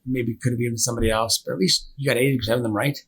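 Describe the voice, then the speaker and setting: A man's voice: medium pitch, steady tone, very fast, fairly bright tone, a little hiss or hum. A man speaking as a company founder in a conversational interview, earnestly explaining his opinions at length. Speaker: business founder and director being interviewed.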